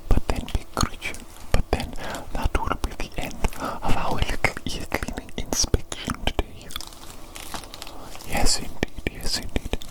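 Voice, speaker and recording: A woman's voice: unhurried, close to an everyday voice, rough recording. A woman voicing a pompous evil doctor who dotes on a patient in a whispered ASMR roleplay, theatrical and given to repeating phrases. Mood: doting, soothing. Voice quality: breathy whisper. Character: pompous evil doctor doting on a big creature.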